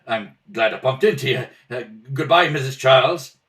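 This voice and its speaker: A man's voice: mid-range voice, moderate pace, fairly bright tone, close to an everyday voice. A man playing a sheepish, shifty pickpocket in an old-time radio play, hesitant and stammering. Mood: sheepish, nervous. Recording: home kitchen, distant mic.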